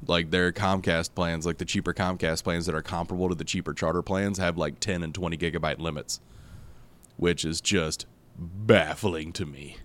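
A man hosting a casual news chat podcast, hoarse from losing his voice, rambling with fillers and frequent laughs. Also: sits low, fairly even intonation, normal speed, a bit bright. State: hoarse, lost voice. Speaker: podcast host.

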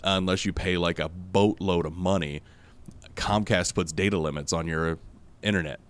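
A man hosting a casual news chat podcast, hoarse from losing his voice, rambling with fillers and frequent laughs. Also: low voice, steady tone, normal speed. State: hoarse, lost voice. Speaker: podcast host.

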